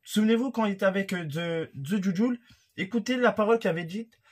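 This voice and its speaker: A young man from France delivers a heated, accusing rant straight into the camera. His voice sits high for a man and comes out husky. He speaks from a room at home. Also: fast talker. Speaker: YouTuber commentator. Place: room at home with a creaky wooden floor.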